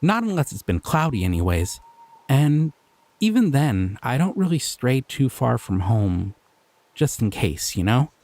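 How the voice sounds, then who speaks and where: A man voicing a gentle, curious male slime creature in an audio roleplay, talking sweetly and bashfully. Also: mid-range voice, very animated, unhurried, dry recording.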